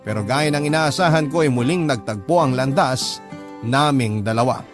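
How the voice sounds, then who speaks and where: A man narrates a radio drama in a mid-pitched voice. He tells a scripted first-person story with sentimental feeling, over a clearly audible music bed. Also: moderate pace. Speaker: radio drama narrator reading a listener's letter. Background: background music.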